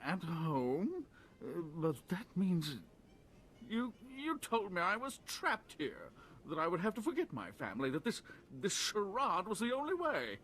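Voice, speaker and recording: A fussy, high-voiced, flustered nobleman. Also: wildly swooping pitch, measured pace, a bit bright, a little hiss or hum, heavily acted. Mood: hurt, indignant. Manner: prim, hesitant, plaintive. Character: fussy, whiny, pampered nobleman, easily flustered. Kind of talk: video game voice acting.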